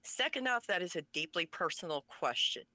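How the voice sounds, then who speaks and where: A woman speaking English as a second language, with Pennsylvania Dutch as her first, talks as an interview guest. Her voice sits low for a woman but comes across bright and forceful. She speaks with passion and emphasis, recorded dry and close with no room sound. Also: lively intonation, moderate pace, dry recording. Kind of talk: conversational interview.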